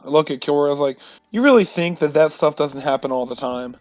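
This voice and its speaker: A man's voice: fairly high pitch, animated, fast, a little mellow, no echo. A man playing in a tabletop roleplaying game, talking casually and bluntly with a sardonic edge.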